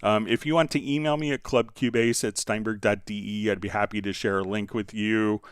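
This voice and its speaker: Low, clear-voiced man explaining software. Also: fairly even intonation, fairly quick, dry recording, studio quality. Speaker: host answering viewer questions on a software livestream. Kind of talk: informal tutorial, explaining step by step.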